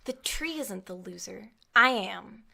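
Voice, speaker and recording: A woman's voice: medium pitch, animated, a little slow, gravelly, pushed and bright, heavily acted. A young woman playing an angry, wounded student outcast who conjures spirits in an audio drama, intense and defensive in manner. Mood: hurt, bitter. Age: young adult.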